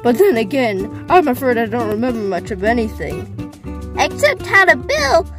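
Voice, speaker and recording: A gentle, absent-minded cartoon inventor man, acted by a woman in a comic dub. He sounds innocent, polite and puzzled, fairly high in pitch, with music playing behind. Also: very animated, moderate pace. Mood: cheerful, puzzled. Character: friendly, forgetful male inventor, innocent and eager.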